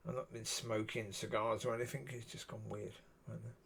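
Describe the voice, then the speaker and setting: A man with a husky voice, talking alone in a rambling, musing way and often saying "yeah". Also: lowish voice, flat delivery, a little fast, fairly bright tone, slight background noise. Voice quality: husky, gravelly. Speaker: solo video talker. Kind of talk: rambling solo monologue.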